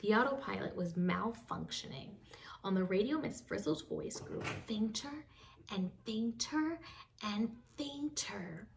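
A young woman reading a children's book aloud expressively, with dramatic pauses, addressing young listeners. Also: medium pitch, lively intonation, gravelly. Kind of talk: expressive read-aloud storytelling. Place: small home room with bookshelves. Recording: slightly distant mic, faint background noise.

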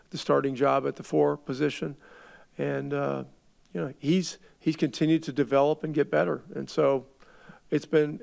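A middle-aged man, a head coach, answering reporters at a postgame press conference in a measured way, often restarting his words as he thinks. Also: medium pitch, gravelly, a little mellow. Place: arena press room. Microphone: table mic.

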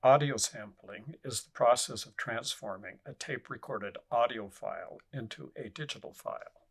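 A man reading scripted instructional narration in a measured, formal way, as an instructor would. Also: medium pitch, slightly rough.

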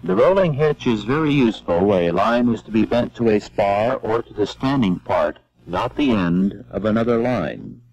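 Gravelly man narrating an old training film. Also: lowish voice, sing-song, a little mellow. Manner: measured, step-by-step instruction. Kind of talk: scripted narration. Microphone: voice-over. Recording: dull lo-fi old film soundtrack.

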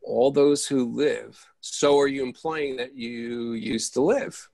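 A man playing an exasperated, easily flustered character in an absurdist stage play reading, halting and repeating himself over a video call. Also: mid-range voice, vocal fry, darkish tone, dry recording. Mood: bewildered.

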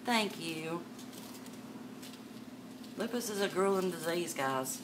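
Older woman host, low voice, chatty live-sale patter, webcam a bit far off with room noise. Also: animated, slow pace. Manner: chatty, calls viewers darling and miss. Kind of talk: live sales stream, chatting to viewers. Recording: distant mic with background noise.

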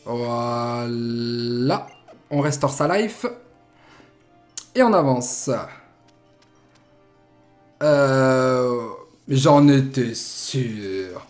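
Young male streamer, lively pitch, jokey, a bit congested. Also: drawn-out words, pauses often, faint music behind. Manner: playful, jokey, animated. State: has a cold. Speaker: Let's Play host. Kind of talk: casual gameplay commentary. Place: indoor room at desk.

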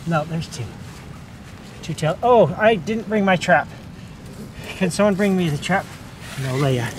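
A man's voice: sits high, unhurried. A man in his thirties giving casual running commentary while ratting with dogs, calling commands and praising them, on a body camera. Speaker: pest control ratter with dogs. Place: outdoor dirt pens on a farm. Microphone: chest-mounted body camera. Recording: noisy outdoor camera audio. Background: dogs and outdoor ambience.

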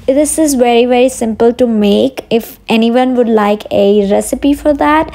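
A woman's voice: a little high, creaky, a little mellow. A woman in her thirties with an Indian English accent, chatting directly to viewers while narrating her day at home. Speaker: vlogger. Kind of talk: casual daily vlog narration. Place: home kitchen and outdoors.

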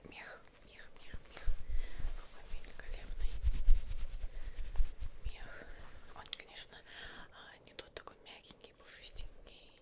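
A woman's voice: a little slow, long pauses. Young woman whispering an ASMR roleplay. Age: young adult. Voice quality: breathy, unvoiced whisper. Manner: soft, murmuring, reassuring, addresses the listener as a client. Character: witch seamstress fussing over fabrics for a client. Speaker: ASMR roleplayer playing a witch seamstress. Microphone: close microphone, facing camera.

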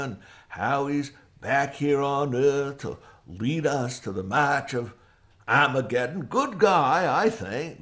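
An actor playing a folksy, wary backwoods man in an audio drama, plainspoken, hesitant and rambling. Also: medium pitch.

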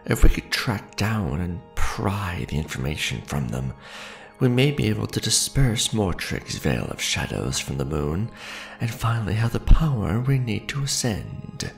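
A man voicing an ancient vampire lord in an ASMR roleplay, courtly and devoted yet ruthlessly ambitious, speaking formally and grandly in deliberate phrases with a gravelly voice. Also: exaggerated voice. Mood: resolute. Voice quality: deep, gravelly.

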